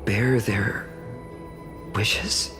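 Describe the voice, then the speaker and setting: A man's voice: fairly low pitch, fairly even intonation, drawn-out words. A young man playing a humble village hero in a fantasy game, earnest, hesitant and musing. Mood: puzzled. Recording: long hall reverb under music.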